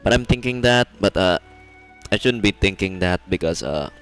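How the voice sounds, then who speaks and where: A man's voice: low-pitched, lively intonation, normal speed, fairly bright tone. A man doing casual live game commentary as a streamer, musing and hesitant as he thinks aloud. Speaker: livestreamer, gamer. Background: game music.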